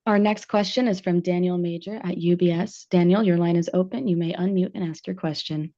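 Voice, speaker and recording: A woman's voice: lowish voice, steady tone, a little fast, dark tone, dry recording. A woman working as a conference call operator, making scripted announcements to open callers' lines. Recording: dull, band-limited conference line.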